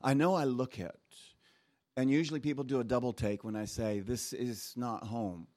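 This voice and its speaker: A man raised in Japan preaches as a guest speaker, reading scripture aloud and then drifting into stories from his own childhood. He talks in an animated, conversational way, with quick asides to the congregation. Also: mid-range voice. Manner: animated storytelling with asides and laughs.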